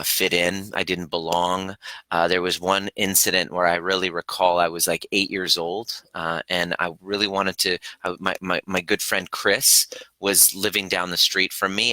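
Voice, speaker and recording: A man who grew up in Canada after early years in Iran, hosting a live question-and-answer stream in an earnest, halting way with many restarts. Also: sits low, sharp tone, dry recording, low-quality recording. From Iran, raised in Canada. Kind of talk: casual live-stream talk.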